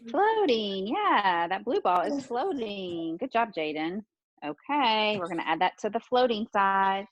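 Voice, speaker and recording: A woman's voice: mid-range voice, very animated, a little slow, dry recording. Woman preschool teacher, encouraging, asks little kids lots of questions, dry dull audio. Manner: encouraging, questioning, repeats children's answers. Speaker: pre-kindergarten teacher. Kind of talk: interactive lesson for young children.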